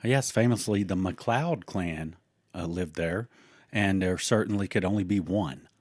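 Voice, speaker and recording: A man's voice: low-pitched, a little slow, darkish tone, no echo, clean studio sound. A man co-hosting a podcast, chatting casually and adding joking asides. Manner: joking asides, playful tangents. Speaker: podcast co-host. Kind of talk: casual chat.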